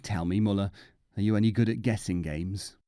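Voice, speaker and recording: A man's voice: on the low side, fairly quick, warm tone, clean tone, no room sound, clean studio sound, close to an everyday voice. A man voicing a sly, teasing prankster in a storybook reading.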